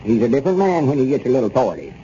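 A man's voice: medium pitch, big pitch swings, rapid-fire, dark tone, lightly acted. Guy acting grumbly stubborn old southern country man, folksy, 1930s radio comedy, dull noisy sound. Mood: bitter, indignant. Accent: rural Southern US. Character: aggrieved, stubborn older rural Arkansas storekeeping man.

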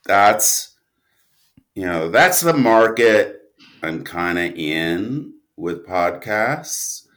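Deep voiced guy on a podcast, unhurried, rambly, keeps cutting himself off. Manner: animated, rambling storyteller, self-interrupting. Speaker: guest, audio drama writer and producer. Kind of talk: casual podcast interview talk.

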